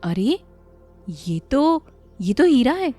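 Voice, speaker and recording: A woman voicing a gullible, excitable poor village man in an animated moral story, exclaiming and stammering. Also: high voice, slow pace, faint music behind, pitched above the performer's own voice, exaggerated voice. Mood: amazed. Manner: exclamatory, stammering when shocked.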